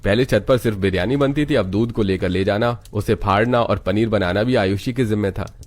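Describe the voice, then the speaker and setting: Man, cartoon story narrator, low voice, even scripted telling. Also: brisk.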